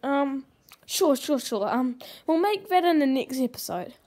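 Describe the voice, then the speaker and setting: Woman host of a homemade puppet cooking show: high, unhurried, hesitant delivery, recorded close on a handheld camera at the kitchen table. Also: animated, mellow. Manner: hesitant, halting, lots of ums. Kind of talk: improvised puppet comedy.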